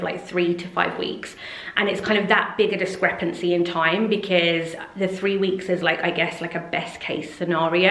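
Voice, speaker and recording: A woman in her thirties talks casually to a camera held close, rambling through her thoughts with plenty of fillers. Her voice sits a little low. The kitchen around her leaves an echoey ring on the sound. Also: creaky, a bit bright. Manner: chatty, rambling, many fillers. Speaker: home renovation vlogger. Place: large indoor kitchen. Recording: echoey room.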